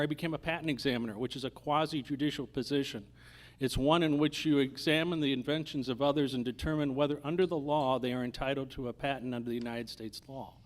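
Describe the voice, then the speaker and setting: A middle-aged or older man running for judge, giving a halting, earnest closing statement. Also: medium pitch. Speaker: candidate for district judge.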